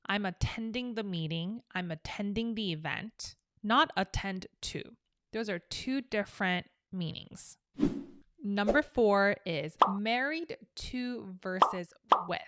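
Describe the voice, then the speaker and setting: Young adult woman, friendly teacher, slow and dry. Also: mid-range voice, fairly bright tone, no echo. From South Korea. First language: Korean. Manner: chatty and upbeat, explaining with examples. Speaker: English teacher. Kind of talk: casual online English lesson.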